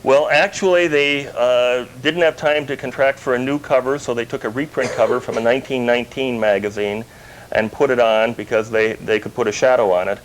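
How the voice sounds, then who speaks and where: A man with a medium-pitched voice explains things in precise detail as an interviewed collector. He is indoors at home, on a faintly noisy 1990s TV recording. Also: fairly even intonation, fairly quick. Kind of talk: informative interview answers. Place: his house, indoors. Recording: noisy 1990s TV broadcast tape.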